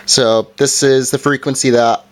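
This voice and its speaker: Male reviewer, mid-pitched voice, informal and halting, walking through a gadget's features. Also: fairly even intonation. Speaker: product reviewer. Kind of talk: casual hands-on review.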